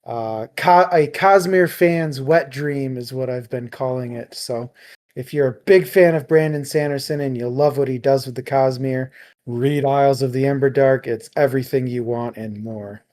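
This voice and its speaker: A man's voice: medium pitch, moderate pace, a little mellow. A man co-hosting a casual book chat livestream with dry, teasing humor. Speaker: book club podcast co-host.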